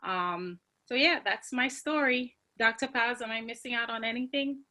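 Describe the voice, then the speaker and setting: Bright-voiced woman telling her story. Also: a little high, moderate pace. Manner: earnest, frequent ums, reflective. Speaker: graduate speaker on a college panel. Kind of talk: personal story on a video call. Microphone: video call.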